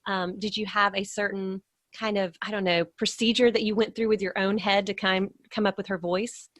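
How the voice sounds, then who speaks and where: A woman's voice: medium pitch, level tone, moderate pace, fairly bright tone, no room sound, studio quality. A woman hosting a casual interview, putting her questions and thoughts in a tentative, thoughtful way. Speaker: podcast host, interviewer.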